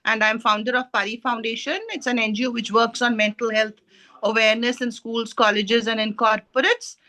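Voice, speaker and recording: A woman's voice: fairly high pitch, flat delivery, a little fast, pushed and bright. A middle-aged woman, a lecturer and mental health trainer, introducing herself on a video call and listing her roles with frequent fillers. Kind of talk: online call self-introduction.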